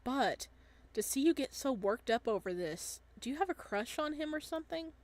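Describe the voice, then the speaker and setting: A woman's voice: sits high, animated, normal speed, darkish tone. A woman voicing a casual, teasing but loyal young male best friend in a visual novel. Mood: probing. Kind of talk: visual novel dialogue reading.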